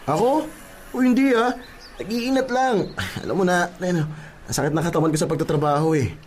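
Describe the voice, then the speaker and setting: High-pitched, cheeky Filipino workman played by a man. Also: fairly quick, darkish tone, a little hiss or hum, close to an everyday voice. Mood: complaining, showing off. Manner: teasing, playful, cajoling. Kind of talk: Filipino radio-style comedy drama.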